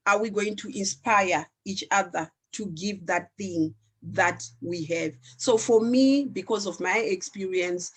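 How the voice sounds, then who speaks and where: A woman from Zimbabwe whose first language is Shona, speaking on a panel in an earnest, reflective way with pauses and restarts. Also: fairly low pitch, breathy edge. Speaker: panelist, founder of an arts organization. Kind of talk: panel discussion.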